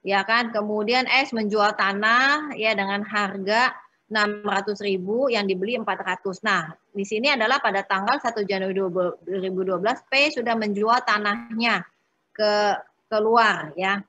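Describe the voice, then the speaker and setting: A woman working through exercises as an accounting instructor in an informal step-by-step lecture, often pausing and adding short check-in tags. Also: medium pitch, bright, forceful tone, husky.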